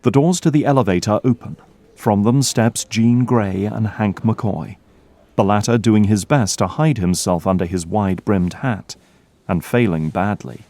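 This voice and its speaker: A man's voice: on the low side, measured pace, mellow. A man delivering dramatic scripted narration as the narrator of an audio drama.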